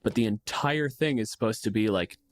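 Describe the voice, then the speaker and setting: A man's voice: fairly low pitch, fairly quick, no echo, studio quality. A man co-hosting a podcast in casual discussion of tabletop games, opinionated and freely swearing. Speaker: podcast co-host.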